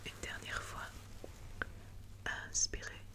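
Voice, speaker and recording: Whispering woman in an ASMR doctor roleplay. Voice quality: soft, breathy whisper. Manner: slow, gentle, careful, reassuring. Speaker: ASMR performer playing a doctor.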